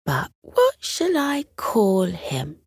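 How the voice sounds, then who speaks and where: Little boy for a bedtime story, played by a woman: earnest, wide-eyed, emphatic, unhurried, animated pitch. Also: husky, no echo, studio quality, heavily acted. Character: earnest little boy, eager and full of wonder.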